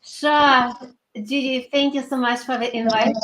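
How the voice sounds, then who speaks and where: Echoey, high-voiced Lithuanian woman, earnest. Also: fairly even intonation, unhurried, a bit bright. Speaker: interview guest, career coach. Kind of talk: conversational interview answers. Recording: echoey room with background noise.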